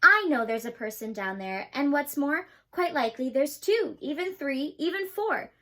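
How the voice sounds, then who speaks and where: A young woman voicing a kind, earnest male elephant in a storybook reading, with a lively, bright voice. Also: high-pitched, normal speed. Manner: earnest, pleading.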